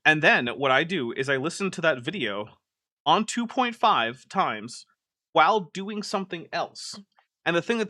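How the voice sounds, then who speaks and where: Bright, chatty male streamer. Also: fairly high pitch, dry recording, clean studio sound. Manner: chatty and exasperated, many "like" fillers. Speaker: streamer host reacting to a video. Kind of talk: casual live-stream commentary.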